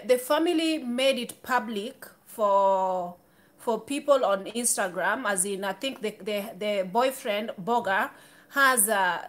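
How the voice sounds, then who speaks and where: A woman's voice: mid-range voice, fairly bright tone. A woman in her thirties talking candidly to camera in a small room, chatty, with restarts and asides. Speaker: YouTuber. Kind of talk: casual talk to camera.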